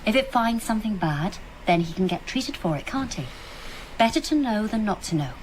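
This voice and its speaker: An actress playing a brisk, rational, guarded female doctor in a radio drama, speaking matter-of-factly. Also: mid-range voice, expressive, a little hiss or hum, close to an everyday voice, poor audio.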